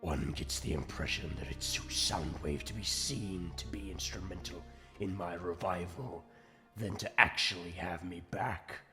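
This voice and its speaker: A man's voice: deep voice, sharp tone, full character voice. A man playing a commanding, brooding robot warlord in a fan comic dub, with grandiose, menacing, theatrical oratory. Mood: cold, menacing. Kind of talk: dramatic villain monologue and narration, fan comic dub.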